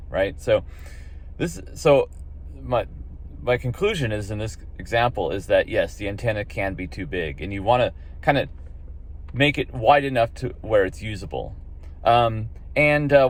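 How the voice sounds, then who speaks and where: An American man giving a casual explainer as a radio hobby vlogger, thinking aloud in a halting way and repeating phrases. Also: on the low side.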